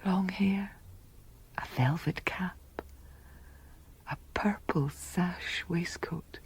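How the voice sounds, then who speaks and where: An actress playing a proud young gentlewoman's sardonic inner voice in an audio drama, breathy and half-whispered, deliberate and intimate. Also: fairly low pitch, heavily acted. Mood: appraising. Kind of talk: audio drama interior monologue.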